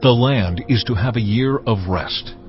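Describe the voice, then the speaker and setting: A man playing God in a dramatized audio Bible, giving laws in a solemn, measured, commanding manner. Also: mid-range voice, close to an everyday voice. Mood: solemn, instructing. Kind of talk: dramatized scripture reading. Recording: dull lo-fi audio, music underneath.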